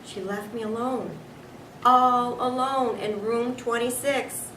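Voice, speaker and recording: A woman's voice: fairly high pitch, fairly even intonation, takes it slowly, a bit bright. Woman as a chatty nervous little hamster, echoey recording. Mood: anxious. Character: small excitable classroom pet hamster, male, chatty and nervous. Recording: echoey room, distant mic, background noise.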